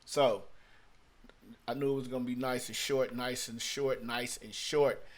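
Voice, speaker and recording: A man's voice: mid-range voice, fairly even intonation, a little slow. A man giving a casual tutorial as a music producer, thinking aloud in a rambling way and often repeating his phrases. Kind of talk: casual screen-recorded tutorial talk. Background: hip-hop beat loops playing.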